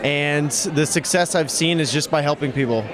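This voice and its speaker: A man's voice: on the high side, moderate pace. A young man from North Carolina giving an earnest, run-on testimonial. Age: young adult. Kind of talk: testimonial statement. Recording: busy crowd behind.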